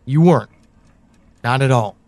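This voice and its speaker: A man playing a brooding, knowing confidant in an audio drama monologue, speaking deliberately to one listener with pointed pauses. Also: medium pitch, darkish tone, dry recording, close to an everyday voice. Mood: reassuring, grave. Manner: deliberate, halting delivery with sighs and pointed pauses. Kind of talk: audio drama monologue, second-person address.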